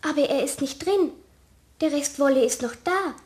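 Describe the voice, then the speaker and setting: A woman's voice: high voice, normal speed, a little mellow, lightly acted. A woman playing an evasive, careless young schoolgirl in a children's radio play, whining and stalling. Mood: puzzled, pleading. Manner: whiny, stalling.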